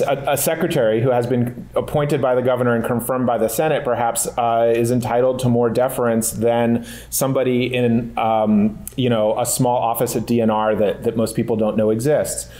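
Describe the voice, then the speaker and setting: A man speaking as a panelist at a legal discussion, his voice at an ordinary middle pitch. He works through the answer aloud, pausing on fillers and restarting phrases as he goes. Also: darkish tone. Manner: thoughtful, many fillers and restarts.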